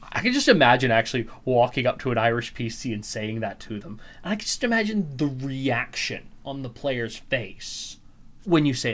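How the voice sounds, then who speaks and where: A young man hosting his own podcast, ranting sarcastically and swearing freely as he mocks a story. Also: medium pitch. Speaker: podcast host. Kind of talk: solo podcast monologue.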